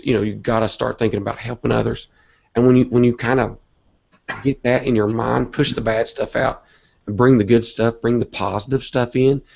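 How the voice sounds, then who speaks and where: A man with a cold and a hoarse voice, talking earnestly and a bit rambling as an interview guest. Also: medium pitch. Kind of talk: casual online interview talk. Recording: dull lo-fi web call audio.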